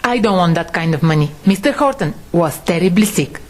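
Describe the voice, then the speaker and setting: A woman's voice: lowish voice, animated, a little fast, close to an everyday voice. A woman playing an upright, indignant wife and mother in a horror audio drama, firm and emphatic.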